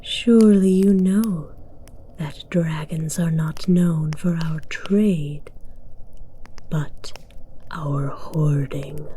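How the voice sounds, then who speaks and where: A woman plays a possessive dragon queen, low and very slow, speaking in breathy whispers. Every phrase is regal and deliberate, a seductive command in an ASMR roleplay. The tone stays dark and soft, with faint noise behind it. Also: rough recording.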